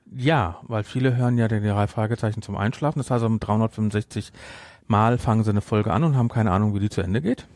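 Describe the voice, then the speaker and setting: A middle-aged man hosts a casual podcast in a gravelly voice. He rambles and repeats words for emphasis, and the sound is very dry with hardly any room to it. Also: fairly low pitch, quick pace, darkish tone, no echo. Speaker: podcast host.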